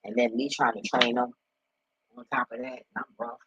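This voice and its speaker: Husky, blunt man in a roomy, muffled recording. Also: level tone, a little fast, pushed and bright. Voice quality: husky, deep voice. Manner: blunt, profane, streetwise slang. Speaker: online spiritual commentator. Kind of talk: casual, unscripted rambling talk. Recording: roomy, dull lo-fi home recording.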